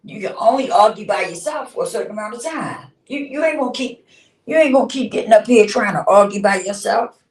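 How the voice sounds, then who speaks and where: A woman's voice: mid-range voice, expressive, fairly quick, far from the mic. A woman in her fifties talking bluntly and casually on a livestream, swearing freely and laughing often. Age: 50s. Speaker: livestream host. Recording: roomy sound, speaking away from the mic.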